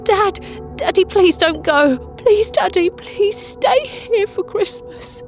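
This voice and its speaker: Woman playing a high-voiced, pleading little girl. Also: expressive, slow, soft background music, heavily acted. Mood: desperate, tearful. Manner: childlike, imploring. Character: pleading young girl, tearful and hopeful, begging her father. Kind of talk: audio drama acting.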